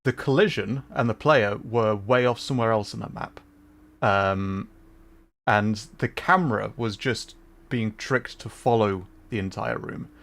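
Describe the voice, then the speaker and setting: A man's voice: lowish voice, a little slow, creaky, a bit bright. A man casually chatting on a gameplay livestream, laughing often and explaining game trivia. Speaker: streamer.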